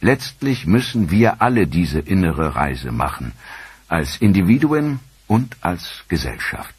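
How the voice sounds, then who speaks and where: Deep husky-voiced male documentary narrator. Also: sing-song, dry recording. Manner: measured, earnest storytelling delivery.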